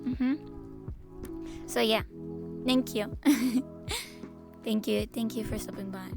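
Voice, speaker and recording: Raspy hoarse girl streamer rambling to chat, kinda high voice, lots of restarts. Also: steady tone, takes it slowly, music in the background. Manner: rambling, stop-start, repeats herself, laughs often. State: hoarse, slightly lost voice. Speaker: VTuber streamer. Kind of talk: casual live-stream chat to viewers.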